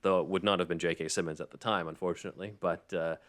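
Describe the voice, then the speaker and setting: Low voiced guy hosting a podcast, chatty and kinda rambling. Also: fairly even intonation, fast, fairly bright tone. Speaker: podcast host. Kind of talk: casual podcast discussion of a script.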